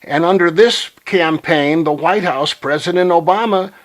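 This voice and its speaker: A man making a phone call to pitch his ideas, speaking earnestly and insistently as if reciting slogans. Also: moderate pace. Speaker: caller.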